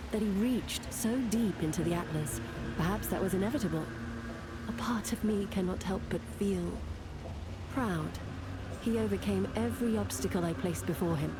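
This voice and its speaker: Low-voiced, solemn fantasy woman played by an actress. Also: background noise, lightly acted. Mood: regretful. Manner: measured, grave, deliberate. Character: solemn, guarded, sorrowful woman keeper of dangerous secrets. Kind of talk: dramatic fantasy game narration.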